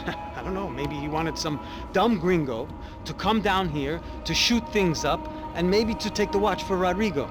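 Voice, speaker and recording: A man's voice: high-pitched, animated, unhurried, over music. An actor playing a sardonic male investigator in a video game, wry and needling, full of pointed questions. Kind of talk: video game cutscene acting.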